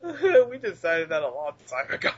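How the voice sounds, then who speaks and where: A young man who voices fan dubs, chatting casually, giggly, sweary and excitable. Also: fairly high pitch, normal speed, husky. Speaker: fan dub voice actor. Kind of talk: casual podcast chat. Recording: dull lo-fi recording.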